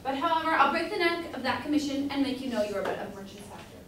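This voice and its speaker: A woman's voice: high-pitched, animated, fast, a bit bright. Woman playing a blustering merchant father, very echoey. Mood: stern, scornful. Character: stern, haughty old merchant father, blustering and scheming. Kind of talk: staged reading of period stage comedy. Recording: echoey room from far off, faint noise.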